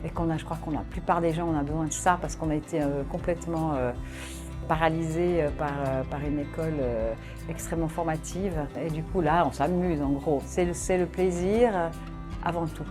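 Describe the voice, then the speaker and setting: A woman's voice: low-pitched, fairly even intonation, brisk, over music. A woman from Switzerland giving an informal, spontaneous testimonial as a course participant.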